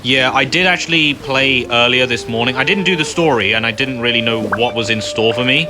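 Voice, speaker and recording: A man's voice: mid-range voice, a little fast. A man giving casual, offhand playthrough commentary as host of a gaming video. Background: game music.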